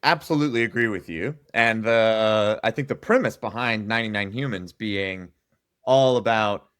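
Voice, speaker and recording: A man's voice: fairly low pitch, normal speed, a bit bright, clean tone, dry recording. Eager podcast host man, dry close sound. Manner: enthusiastic, chatty interviewer. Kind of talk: interview podcast.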